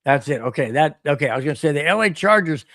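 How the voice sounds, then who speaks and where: A man hosting a solo podcast stream, ranting animatedly and repeating himself while his voice wears hoarse. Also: a little high, expressive, fast talker, fairly bright tone, dry recording, studio quality. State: voice getting hoarse. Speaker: podcast host. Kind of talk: solo livestream rant reading chat.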